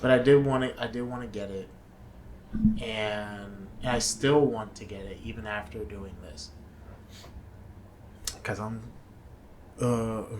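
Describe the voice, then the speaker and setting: A man co-hosting a casual chat show, talking in a slow, laid-back way and often trailing off to restart his sentences. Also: on the low side, a little hiss or hum, low-quality recording. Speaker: podcast co-host. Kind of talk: casual chat reviewing a movie.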